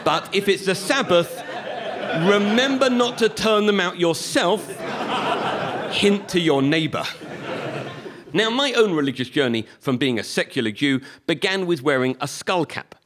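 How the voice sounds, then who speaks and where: A man with a Liverpool accent performing a stand-up comedy monologue with a wry, deadpan delivery. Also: high voice, measured pace, a crowd in the background. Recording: live recording with audience noise.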